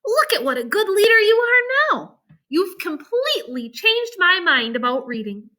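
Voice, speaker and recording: A woman's voice: very high-pitched, big pitch swings, normal speed, sharp tone, voice pushed up, exaggerated voice. A woman voicing a spirited, headstrong young farm girl in a storybook, speaking earnestly and emphatically. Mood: admiring.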